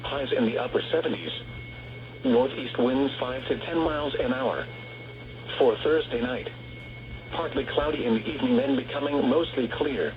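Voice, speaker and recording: A synthetic male voice reads a scripted weather bulletin as a radio announcer, in a measured, formal and impersonal way. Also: mid-range voice. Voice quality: synthetic text-to-speech voice. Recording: muffled noisy weather radio broadcast.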